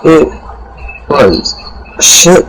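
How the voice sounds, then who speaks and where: Slow, halting young man. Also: fairly high pitch, very animated, a bit bright, slight background noise, low-quality recording. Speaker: panelist. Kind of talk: casual chat.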